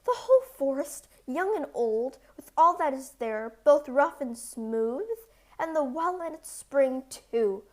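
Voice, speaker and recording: A woman's voice: high-pitched, animated, slow. A woman voicing a huge, foolish, easily scared male giant in a storybook, with a growling, blustering manner. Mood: growling, alarmed.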